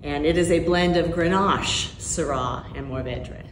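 Low-voiced woman in a roomy tasting room. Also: unhurried, a little hiss or hum. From Hawaii. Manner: enthusiastic, descriptive. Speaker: winery co-owner. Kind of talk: promotional to-camera talk. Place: tasting room at a wooden bar. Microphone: camera a few feet away. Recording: roomy indoor echo.

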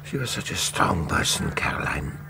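An actor playing a troubled, evasive Norwegian professor in grief, halting and self-reproaching, in a radio horror drama. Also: male voice, very noisy, close to an everyday voice. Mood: haunted, self-reproaching.

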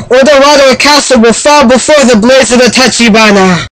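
A woman voicing a proud, stern young samurai warrior woman for a video game, with formal, haughty delivery on an amateur microphone. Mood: solemn, defiant. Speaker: amateur voice actor. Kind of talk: video game character voice reel. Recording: low-quality amateur microphone.

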